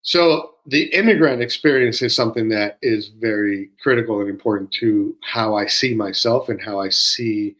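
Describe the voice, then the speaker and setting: A man in his sixties who grew up in Peru, co-hosting a conversation and talking in a measured, reflective way with frequent pauses and fillers. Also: lowish voice, a bit bright. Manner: measured, pausing often with fillers. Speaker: podcast co-host.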